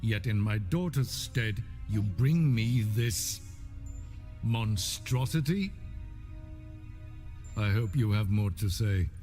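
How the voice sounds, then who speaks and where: An actor playing a cold, haughty middle-aged emperor in a video game, speaking in a formal, commanding, curt way. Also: male voice, fairly low pitch, expressive, takes it slowly, pauses often, dark tone, soft background music. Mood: stern, imperious. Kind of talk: video game cutscene acting.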